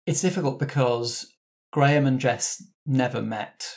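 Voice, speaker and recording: A man's voice: mid-range voice, a little slow, darkish tone. A man acting as game master, narrating scenes and rules in a conversational, descriptive way. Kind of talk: narrating a tabletop roleplaying game.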